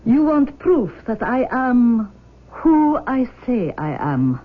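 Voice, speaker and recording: An actress playing a formal, imperious mother in a 1970s radio drama, speaking in a haughty, deliberate manner. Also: fairly high pitch, big pitch swings, exaggerated voice. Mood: assertive, controlled. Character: formal, imperious Russian mother demanding her daughter. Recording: dull vintage radio broadcast.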